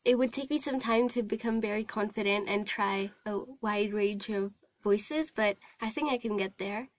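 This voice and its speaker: A woman's voice: medium pitch, moderate pace, no echo. A young woman from Hong Kong, a voice-over trainee, giving casual, hesitant, thoughtful answers. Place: recording studio.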